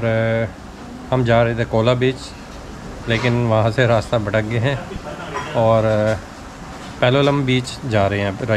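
A man's voice: mid-range voice, clean tone. A man in his forties chatting casually as a travel vlogger, guiding viewers around while holding a phone at arm's length outdoors. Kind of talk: casual travel vlog narration. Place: outdoors on beaches and hilltops, also a restaurant. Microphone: phone held at arm's length. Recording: noisy outdoor phone recording.

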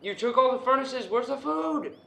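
A man's voice: extremely high pitch, expressive, moderate pace, gravelly, sharp tone, reverberant. A young man talking casually and bluntly to a friend while they play a video game, swearing playfully. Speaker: gamer in co-op let's play. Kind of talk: casual gaming chat with a friend.

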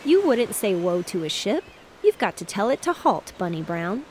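A woman's voice: medium pitch, very animated, normal speed, a little mellow, a little hiss or hum, natural voice. A woman reading aloud, voicing a literal-minded little girl playing pretend. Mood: pert. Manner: matter-of-fact and pert.

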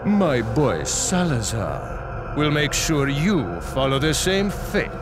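An actor playing a haughty, ruthless cult leader villain in a video game, grandiose and gloating. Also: male voice, mid-range voice, very animated, slow pace, music in the background. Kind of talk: video game cutscene acting.

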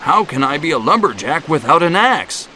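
An honest, simple lumberjack from a children's storybook speaks up loudly and earnestly, as a man narrator puts him on. His voice is bright, lively and raised above the narration. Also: fairly high pitch, normal speed, a little hiss or hum, voice pushed up, exaggerated voice.

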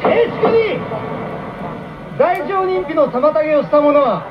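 An actor playing a stern, pompous courtroom judge in a radio drama. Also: male voice, extremely high pitch, expressive, takes it slowly, background noise, heavily acted. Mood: stern, commanding. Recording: muffled AM radio recording.

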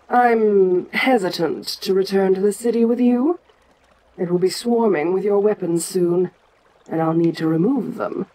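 A woman's voice: mid-range voice, mellow, low-quality recording. A woman playing an ancient giant kaiju queen in an audio roleplay, proud and curious, with a domineering, stately manner. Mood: measured, questioning. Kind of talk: dramatic audio roleplay addressed to the listener.

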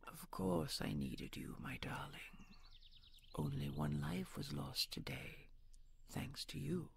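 A woman's voice: low-pitched, slow, creaky, whispered, exaggerated voice. A woman playing a commanding, possessive dragon queen with a regal, formal manner in an audio roleplay. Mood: tender, proud. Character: commanding, possessive female dragon queen, tender to her beloved.

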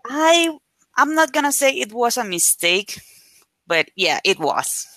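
A woman with Spanish as her first language, talking casually as an interview guest over a video call. Her voice is bright and forward and sits close to the mic with almost no room sound. She chats freely and leans on "like" fillers while acting out old conversations. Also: fairly high pitch, unhurried, no room sound. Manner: chatty, frequent "like" fillers, animated retelling. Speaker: guest, affiliate marketer.

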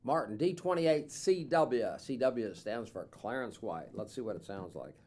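A man's voice: mid-range voice, moderate pace, loud music behind the voice. Older man, easygoing sales talk, ums and uhs, small studio, mic on a stand. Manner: relaxed, rambling with many fillers. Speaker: instrument dealer. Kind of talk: casual product demo. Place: dim small studio room, seated with guitar.

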